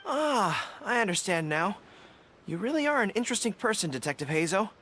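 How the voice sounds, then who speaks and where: A woman's voice: medium pitch, sing-song, fairly quick, a bit bright, a little hiss or hum. A woman voicing an earnest, easily flustered young soldier in a video game, hesitant and proper. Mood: puzzled, flustered.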